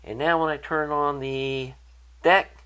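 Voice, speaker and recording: Slightly high-voiced man explaining a repair. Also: steady tone, a little slow, sharp tone, clear, resonant tone. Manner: unscripted, conversational explaining. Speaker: electronics repair hobbyist presenter. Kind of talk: hands-on repair walkthrough, informal.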